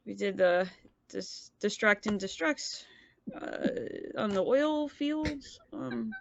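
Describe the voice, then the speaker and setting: A woman improvising narration for her character as a tabletop roleplay player, halting and searching for words. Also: medium pitch, lively intonation, creaky, muffled recording, a little hiss or hum.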